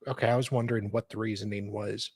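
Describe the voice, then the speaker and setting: A man co-hosting a talk stream, reading court documents formally in a measured, deliberate way, with some casual chat. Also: fairly low pitch, fairly even intonation, a little mellow, no room sound, clean studio sound. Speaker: co-host and reader of legal documents.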